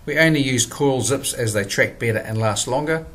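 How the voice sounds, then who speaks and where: A man, presenting a product in a measured, scripted voice-over. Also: medium pitch, a bit bright, slight background noise. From New Zealand. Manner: measured, plain instructional delivery.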